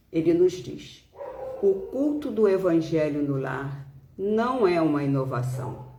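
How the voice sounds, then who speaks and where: Very slow, solemn Brazilian woman. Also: sits low, dark tone, echoey room. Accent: Brazilian Portuguese. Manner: solemn, deliberate phrasing with frequent pauses. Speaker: spiritist speaker. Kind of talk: devotional talk with solemn reading.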